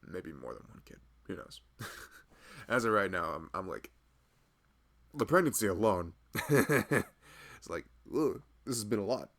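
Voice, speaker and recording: A man playing a loving, nervous young husband and father-to-be in an intimate, rambling, soft-spoken ASMR roleplay. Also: big pitch swings, a little slow, exaggerated voice. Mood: worried, tender, then amused. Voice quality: low, gravelly voice. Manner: rambling, soft-spoken, chuckling, often drifting toward a murmur.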